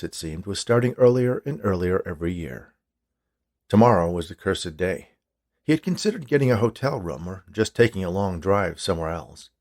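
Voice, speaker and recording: A man's voice: deep voice, a little mellow. A man reading his own short story as scripted narration.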